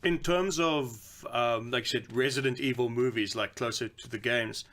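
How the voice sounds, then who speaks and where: A man's voice: medium pitch, moderate pace, fairly bright tone. A man speaking as a co-host in a casual podcast discussion about films.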